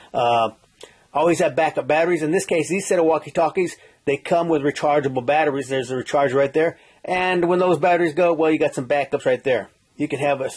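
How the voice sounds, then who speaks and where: Folksy, husky man explaining gear. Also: medium pitch, darkish tone. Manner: folksy, repeats "okay?", many restarts and "uh". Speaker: survival instructor. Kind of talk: informal how-to talk.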